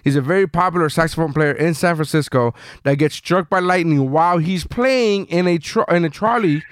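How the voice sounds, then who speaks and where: A man's voice: fairly high pitch, a little fast, clean tone, no room sound, clean studio sound. A man in his late thirties hosting a casual comics panel, telling rambling, jokey stories with some swearing. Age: late 30s. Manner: profane, jokey, rambling storytelling. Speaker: podcast panel host. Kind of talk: casual chat about comic books.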